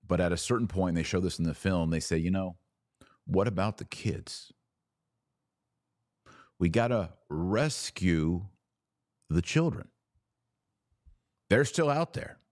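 A man's voice: low-pitched, lively intonation, takes it slowly, pauses often, darkish tone, dry recording, studio quality. A man hosting a podcast, giving an earnest solo film review and lifting his voice on words he wants to stress. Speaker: podcast host.

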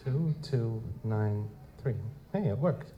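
A man's voice: mid-range voice, animated, takes it slowly, dull audio. A man playing a wry, streetwise goblin-like private investigator in a noir audio drama, speaking in casual slang. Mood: probing, dry. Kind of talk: live audio drama dialogue and first-person narration. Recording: noticeable background noise.

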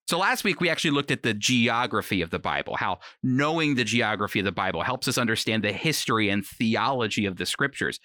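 A man with a medium-pitched, forceful voice hosts and teaches on the radio. He talks to listeners directly and earnestly, in a conversational, encouraging style. The sound is dry, with hardly any room in it. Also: dry recording. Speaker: radio host and Bible teacher. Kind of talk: conversational Bible teaching and commentary. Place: remote home recording, dry.

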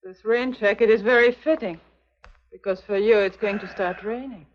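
A woman's voice: normal speed, lightly acted. An actress playing a cool, duplicitous young female spy, composed and slightly formal, in an early 1950s TV drama. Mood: cold. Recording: muffled, noisy vintage TV soundtrack, vehicle rumble.

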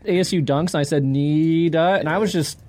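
A man's voice: on the high side, a little fast, darkish tone, a little hiss or hum. A man co-hosting a podcast, giving his opinions in casual conversation. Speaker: podcast co-host.

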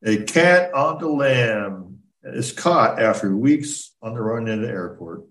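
A man's voice: mid-range voice, lively intonation, takes it slowly, very noisy. A man co-hosting a podcast in casual, joking conversation. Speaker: podcast co-host. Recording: roomy, distant from the mic.

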